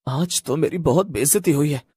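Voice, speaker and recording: A man's voice: on the high side, normal speed, dark tone, slightly rough, no room sound, clean studio sound. A man voicing a cocky, hot-tempered young village boy who talks in a brash, boastful way in a cartoon story. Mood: resentful.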